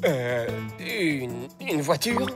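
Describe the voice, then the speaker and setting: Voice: mid-range voice, sing-song, drawn-out words, over loud music. An actor voicing a good-natured but dim fox posing as a pet dog in a French cartoon, hesitant and puzzled. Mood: puzzled, earnest.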